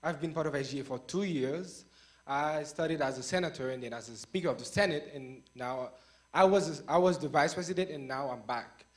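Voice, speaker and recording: Young man, Haitian accent, higher voice, student debate candidate delivering an opening statement haltingly. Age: young adult. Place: on stage at a live debate.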